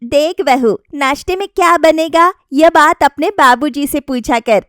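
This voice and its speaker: A woman's voice: sits high, measured pace, fairly bright tone, no echo, clean studio sound. A woman voicing a bossy, unimpressed middle-aged mother-in-law in a story, brusque and instructing.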